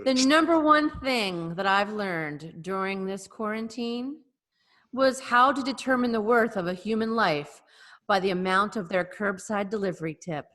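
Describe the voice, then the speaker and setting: A woman's voice: mid-range voice, normal speed, fairly bright tone, big-room sound. A woman on a video-call podcast, reading a comic list with a wry, giggly delivery. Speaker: podcast co-host. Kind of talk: casual chat over video call.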